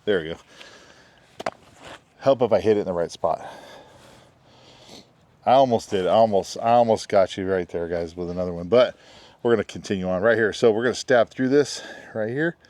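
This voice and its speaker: A man's voice: fairly low pitch, moderate pace, long pauses, darkish tone, a little hiss or hum. A middle-aged man with a head cold, chatting casually outdoors as he demos a knife and jokes along the way. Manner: chatty, jokey, says "guys" and "bam" a lot. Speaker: knife reviewer. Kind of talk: casual product demo. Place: backyard, outdoors.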